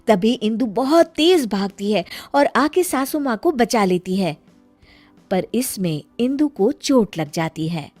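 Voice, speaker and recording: Unhurried woman narrating a story. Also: on the high side, expressive, a little mellow, dry recording, soft background music.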